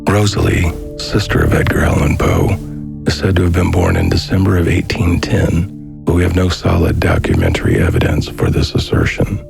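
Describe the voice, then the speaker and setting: A man reading a scripted documentary narration in a measured, formal manner. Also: sits low, expressive, gravelly. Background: music.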